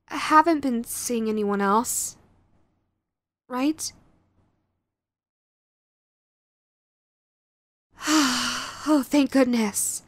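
A woman playing a sweet, clingy yandere girlfriend in an audio roleplay, nervous and halting, gushing and apologetic as she tries to reform. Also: high-pitched, a bit bright, dry recording. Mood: remorseful, nervous. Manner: halting, gushing, apologetic, swinging between eager and sheepish. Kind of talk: romantic audio roleplay, acted to the listener.

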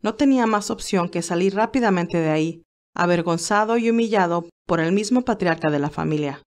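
A woman's voice: fairly low pitch, fairly quick, darkish tone. A woman reading scripted story narration in Spanish, telling a tale. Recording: music behind the voice. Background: classical music.

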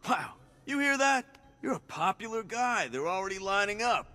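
A sadistic militia thug in a video game, with a bright, forceful, fairly high male voice. He taunts and gloats menacingly, his pitch swinging freely. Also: lively intonation, moderate pace. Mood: gloating menace.

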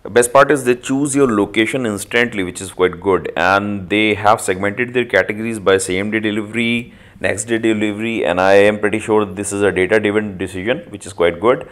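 A man giving an informal screen-recorded walkthrough as a reviewer, speaking haltingly with frequent filled pauses. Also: lowish voice, steady tone. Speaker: app reviewer.

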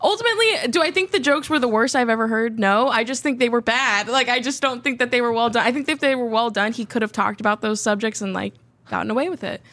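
A woman's voice: on the high side, fairly bright tone, no room sound. A woman in her twenties hosting a casual podcast at a desk mic, chatty and full of fillers. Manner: chatty, quick laughs, many "like" and "um". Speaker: podcast host. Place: small studio with textured walls. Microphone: desk mic on stand, headphones.

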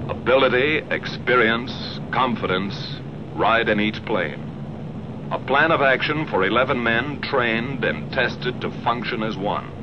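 A man's voice: mid-range voice, noisy background. An American man reads a scripted 1940s military documentary narration in a clipped, dramatic, declarative manner. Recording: dull 1940s film soundtrack, loud music. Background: loud film score.

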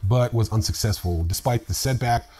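A man in his fifties with a husky voice, hosting a news show with scripted commentary and personal asides. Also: on the low side, normal speed, warm tone. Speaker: host of a cryptocurrency news video series. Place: small indoor room lined with bookshelves.

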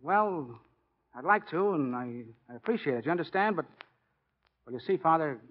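An actor playing an earnest young college football player in a 1940s radio drama. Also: male voice, on the high side, lively intonation, normal speed. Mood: bashful. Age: young adult. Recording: dull 1940 radio recording.

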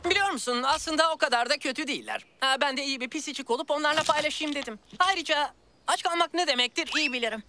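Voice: high voice, fast talker, background noise, close to an everyday voice. An actor voicing an eager, food-loving, good-hearted talking cat in a children's cartoon, with a theatrical, easily flustered manner. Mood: earnest, pleading. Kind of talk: animated children's cartoon dubbing.

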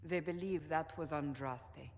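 A woman's voice: sits low, measured pace, a little mellow. A woman playing a stern, resolute fantasy warrior in a video game, speaking formally and with firm command. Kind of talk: dramatic fantasy game acting. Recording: long echoing reverb.

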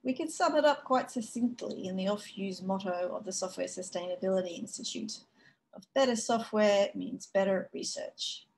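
A woman giving a conference keynote over a video call, explaining in a measured way with frequent fillers. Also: medium pitch, a little mellow, a little hiss or hum, low-quality recording. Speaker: keynote speaker. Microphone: video call webcam.

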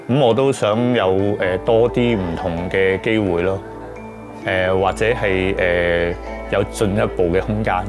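Slow, low-voiced Hong Kong man. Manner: halting, searching for words, mixing languages. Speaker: interviewee. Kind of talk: reflective on-camera interview. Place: small book-lined room, indoors.

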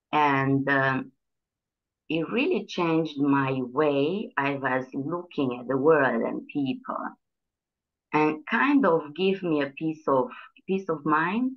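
A middle-aged woman with a Hungarian accent and a low, deep voice, talking as a guest over a video call. She speaks hesitantly, restarting sentences as she searches for words. The call audio sounds dull and lo-fi. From Transylvania, Romania. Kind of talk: casual video call interview. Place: bright room indoors.

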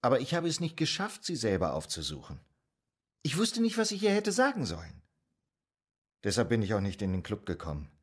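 An actor in a German radio drama playing an earnest, troubled male psychoanalyst in 1920s Vienna, speaking in a measured way. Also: mid-range voice, wildly swooping pitch, slightly rough.

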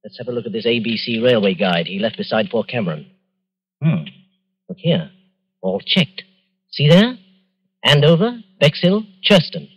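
Old-time radio role: confident, well-bred Englishman, lively intonation with some rasp. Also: medium pitch, moderate pace, long pauses, lo-fi sound. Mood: grave, urgent. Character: breezy, self-assured upper-class English gentleman.

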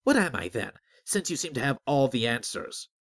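A man voicing a defiant, impatient young orphan student of magic in a fantasy story, speaking loudly and demandingly. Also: medium pitch, sing-song, moderate pace, voice pushed up. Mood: impatient, questioning. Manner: loud, demanding, exclamatory. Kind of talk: fantasy audiobook character.

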